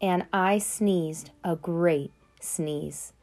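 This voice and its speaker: A woman voicing a sly, smooth-talking male wolf who tells his side of the story in a chatty, conspiratorial way, read aloud from a children's picture book. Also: on the low side, very slow, darkish tone, faint music behind.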